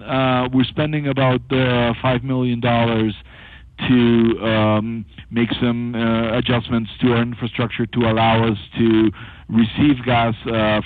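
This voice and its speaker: A man presenting as a company executive on a conference call, speaking haltingly with frequent long filled pauses over a telephone. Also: fairly low pitch, no echo. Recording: thin, narrow-band telephone conference line.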